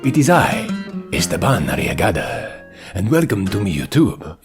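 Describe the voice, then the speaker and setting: Man, lowish voice, swooping intonation, mock-pompous showman delivery, clear music behind. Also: sing-song, normal speed. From Chile. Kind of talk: grandiose self-introduction to a video.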